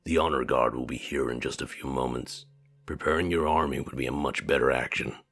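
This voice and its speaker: A ruthless, unbending commander in a visual novel. His voice sits extremely low and husky, each line cold and measured. Faint music runs beneath the dry studio read. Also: steady tone, a bit bright, heavily acted. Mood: cold, stern.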